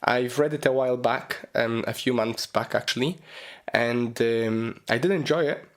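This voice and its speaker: Guy with a cold doing his podcast intro, raspy throat, lots of ums. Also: mid-range voice, normal speed. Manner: rambling, many ums and you-knows. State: hoarse from a cold. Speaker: podcast host. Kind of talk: casual solo podcast monologue.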